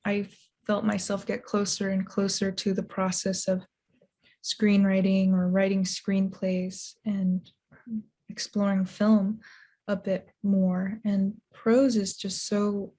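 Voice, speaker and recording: A woman author and translator answering interview questions in a thoughtful, measured way. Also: mid-range voice, completely flat delivery, warm tone.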